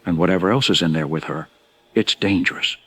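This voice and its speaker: An actor playing a grim informant in an audio drama, speaking in grave, measured and emphatic warnings. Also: male voice, low voice, fairly bright tone. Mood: sombre. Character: grim male informant warning of bioweapon outbreak.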